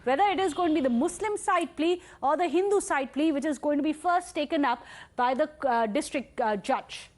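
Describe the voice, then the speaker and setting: A woman's voice: high voice, fairly quick. A woman news anchor with an Indian English accent delivering live breaking news in an emphatic, repetitive manner. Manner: emphatic, repeats key points.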